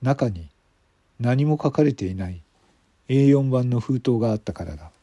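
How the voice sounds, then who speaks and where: 男性がラジオドラマの台本を、間を取りながら語るナレーション。. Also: male voice, medium pitch, expressive, normal speed, mellow, dry recording. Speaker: narrator. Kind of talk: scripted radio drama narration.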